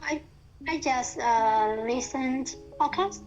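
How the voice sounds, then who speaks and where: Hesitant high-voiced Thai woman. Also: slow pace, darkish tone, muffled recording, soft background music. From Thailand. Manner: hesitant, searching for words with many fillers. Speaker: guest, university student. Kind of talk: casual language-practice chat.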